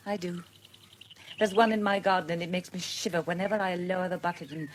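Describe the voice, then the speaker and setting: An actress playing a genteel, wistful British lady in a radio drama, expressive and relishing her words. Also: fairly low pitch, a little fast, a little hiss or hum, lightly acted. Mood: delighted, shuddering. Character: genteel, wistful, affectionate English lady visitor. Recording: outdoor birdsong ambience behind. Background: birdsong and outdoor ambience.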